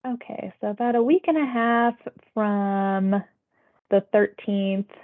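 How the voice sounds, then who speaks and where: A woman conducts a health survey over what sounds like a narrow phone line. Her voice sits at a medium pitch. She moves through scripted questions politely and conversationally, acknowledging answers as she goes. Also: slow pace. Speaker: public health phone interviewer. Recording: tinny phone-like audio.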